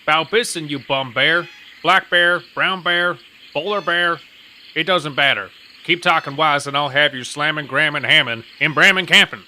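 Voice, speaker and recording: A man's voice: a little high, normal speed, sharp tone. A man playing a pompous traffic cop who rattles off strings of alliteration in an officious tone. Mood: ranting. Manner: officious, tongue-twisting, rising to rants.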